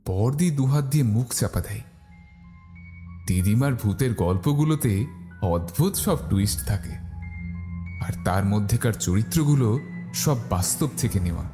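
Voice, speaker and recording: A man's voice: lowish voice, expressive, a little slow, long pauses, warm tone. A man narrating a scripted first-person horror story. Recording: faint music behind the voice.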